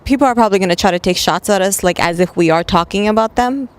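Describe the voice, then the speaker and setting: Mid-pitched woman hosting a casual interview. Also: fast talker. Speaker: host, interviewer.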